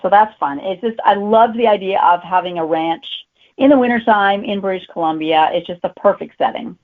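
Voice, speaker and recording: A woman speaks as an interviewed author in a casual, conversational style. Her pitch is average for a woman. The sound is thin and band-limited, like a phone call. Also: a little fast. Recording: tinny phone-like line.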